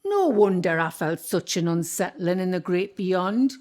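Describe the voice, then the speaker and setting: A woman's voice: fairly low pitch, animated, a little mellow. A woman playing a bossy Northumbrian ghost landlady. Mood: proud. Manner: scolding, bossy, quick to defend herself. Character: sharp-tongued ghost of an old landlady. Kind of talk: community radio play acting.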